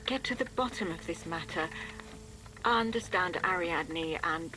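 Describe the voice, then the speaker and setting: An actress playing a frightened but determined woman in a horror game, hushed and halting as she questions herself. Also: on the low side, over music, lightly acted, low-quality recording. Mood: brooding, hushed. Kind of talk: dramatic video game acting with introspective monologue.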